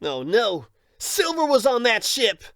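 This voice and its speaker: A man's voice: very high voice, big pitch swings, measured pace, fairly bright tone, no echo, clean studio sound, full character voice. A man playing a young cartoon hedgehog hero in an audio drama, cocky but weakened and weary. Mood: urgent, cocky.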